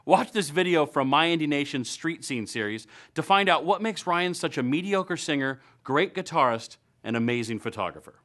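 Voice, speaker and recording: A man's voice: on the high side, lively intonation, moderate pace, hardly any pauses, a bit bright. An American man in his thirties presenting a scripted show to camera with wry, playful asides. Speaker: web series host. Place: indoor studio.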